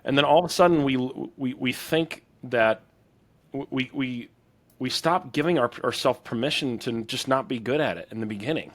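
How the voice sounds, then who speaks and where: A man's voice: medium pitch, moderate pace. A man hosting a podcast interview, affirming his guest and reflecting on the lessons in a thinking-aloud way. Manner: affirming, reflective, talks in restarts while building a point. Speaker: podcast host.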